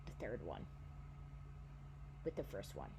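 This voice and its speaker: A young woman in her twenties talks casually and rambles, often stopping to correct herself mid-sentence. Her voice sits at an ordinary pitch for a woman. A faint hum runs underneath. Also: sing-song, a little slow, gravelly. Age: 20s. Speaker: book vlogger. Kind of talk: casual chat.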